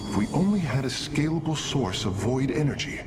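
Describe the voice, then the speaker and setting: Game role male actor: earnest alien engineer, formal, inquisitive, mid-pitched, expressive. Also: a little fast, background noise, close to an everyday voice. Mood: frustrated, curious. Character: earnest alien engineer-scientist, cerebral and dutiful. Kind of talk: dramatic video game acting. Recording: loud game music behind.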